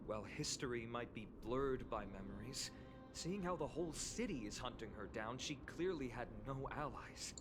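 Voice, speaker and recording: A man's voice: medium pitch, animated, normal speed, close to an everyday voice. A man voicing an earnest young hero in scripted video game dialogue, music behind him. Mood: pondering.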